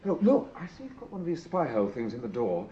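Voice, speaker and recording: An actor playing an affable, excitable gentleman with an upper-class English accent and breezy manner, in a radio drama. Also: medium pitch, quick pace. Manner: breezy, exclamatory. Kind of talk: British radio drama acting. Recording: dull, noisy old radio drama recording.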